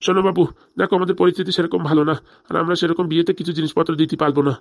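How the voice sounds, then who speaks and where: A man doing a rapid-fire older village father, cartoon. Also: a little high, little pitch movement, vocal fry, dry recording, studio quality. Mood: eager. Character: older village father, easygoing, eager to marry off daughter. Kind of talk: fast comic cartoon dialogue.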